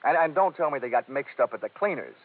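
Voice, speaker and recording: An actor playing a wisecracking, hard-boiled American insurance investigator in a 1950s radio detective drama, with wry, clipped delivery. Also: male voice, medium pitch, lively intonation, fast, lightly acted. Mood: probing, skeptical. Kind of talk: 1950s detective radio drama acting, first-person narration. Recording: tinny 1950s radio recording, faint music.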